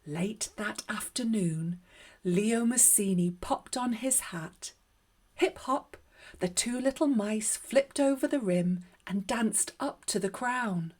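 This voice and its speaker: Female storyteller for young children, gentle and expressive, mid-pitched, taking her time over each phrase. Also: slow, breathy edge. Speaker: children's story reader and host. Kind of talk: read-aloud storytime narration for children.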